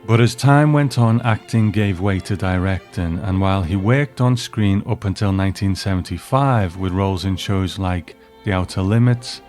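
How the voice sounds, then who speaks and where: A man's voice: sits low, moderate pace, hardly any pauses, darkish tone, faint music behind. A man hosting a review, narrating from a script. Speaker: host.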